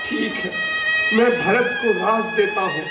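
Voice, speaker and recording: Slow-spoken old king. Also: extremely high pitch, wildly swooping pitch, heavily acted. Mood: broken. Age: older. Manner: grand, emotional, theatrical. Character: aged king and devoted, pleading husband. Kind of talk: theatrical Hindi mythological audio drama. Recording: echoey, distant playback with loud music.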